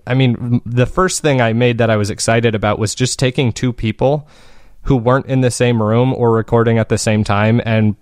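A man's voice: medium pitch, steady tone, normal speed, a little mellow, clean tone, dry recording, clean studio sound. A man speaking conversationally as an interviewed podcast creator, explaining his process in a thoughtful, casually phrased way.

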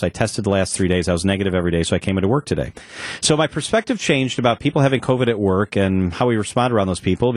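A man's voice: fairly low pitch, no echo. Man hosting a radio talk show, dry studio sound. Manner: conversational, candid storytelling. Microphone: studio microphone.

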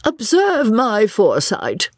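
A theatrical older English lady acted out by a woman narrator, pitched high and swooping wildly. She is mocking, bossy and gushing, full of exclamations, like a character from a storybook reading. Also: huge pitch swings, takes it slowly, dry recording, clean studio sound, exaggerated voice. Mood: brisk. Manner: gushing, exclamatory, gasping with mirth. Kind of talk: audiobook character voice.

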